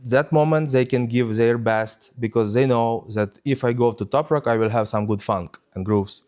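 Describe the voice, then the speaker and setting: A man's voice: mid-range voice, moderate pace, a little mellow. A man from Ukraine speaking English, answering interview questions with many hesitations and fillers. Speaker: DJ guest.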